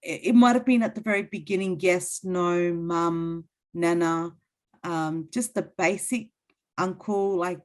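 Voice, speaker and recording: A woman from Central Australia whose first language is Luritja Pintupi, an interpreter talking casually as a guest, laughing easily and pausing with many ums. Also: lowish voice.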